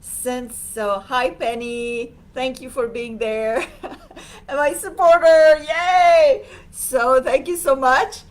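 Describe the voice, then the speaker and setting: Slow, hesitant woman. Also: high voice, fairly even intonation. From Serbia. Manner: hesitant, many fillers, reads viewer comments. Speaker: livestream host. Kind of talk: casual livestream talk.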